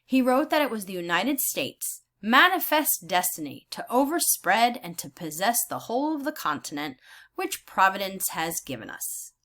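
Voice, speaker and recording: Bright-voiced woman teaching with lively intonation. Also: mid-range voice. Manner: instructional, deliberate phrasing. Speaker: teacher reading aloud. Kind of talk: read-aloud lesson with think-aloud comments.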